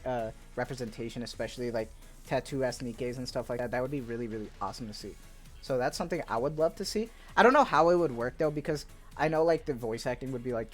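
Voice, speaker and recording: A man giving casual, rambling commentary on viewer suggestions for a video game, upbeat and eager, full of filler words. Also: mid-range voice. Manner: laid-back, rambling, peppered with "like" and "dude". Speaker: gaming YouTuber. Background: faint background music.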